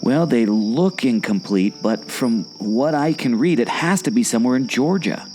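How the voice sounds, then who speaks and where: A man playing an eager, observant adventurer sidekick in a scripted audio adventure drama, with excitable, exclamatory delivery. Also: mid-range voice, normal speed, faint music behind, natural voice. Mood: conspiratorial. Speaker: podcast host acting in radio play.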